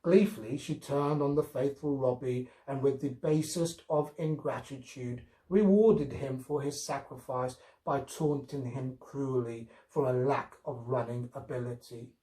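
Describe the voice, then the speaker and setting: Slow-paced male storyteller in a roomy space. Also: medium pitch, warm tone. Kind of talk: expressive scripted story narration. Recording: roomy, echoing sound.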